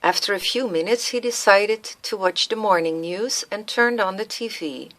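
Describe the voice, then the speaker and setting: A woman's voice: medium pitch, normal speed, fairly bright tone. A woman reading scripted story narration as the narrator of a radio play. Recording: music laid under the voice.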